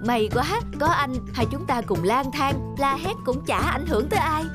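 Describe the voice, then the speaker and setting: A woman's voice: high voice, expressive, moderate pace, over loud music. Woman as a cheery middle-aged male traveler. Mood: cheerful, earnest. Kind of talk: cartoon dub.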